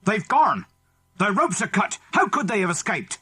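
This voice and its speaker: A man voicing an angry, loud gang member in a children's adventure story. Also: high voice, big pitch swings, normal speed, breathy edge, faint music behind, voice pushed up, heavily acted. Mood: angry, shocked. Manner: barking, indignant.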